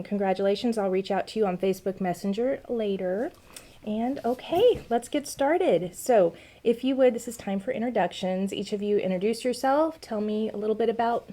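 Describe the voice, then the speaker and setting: A woman's voice: medium pitch, mellow. A woman hosting a casual livestream chat from a small office, friendly and chatty with frequent ums. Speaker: host.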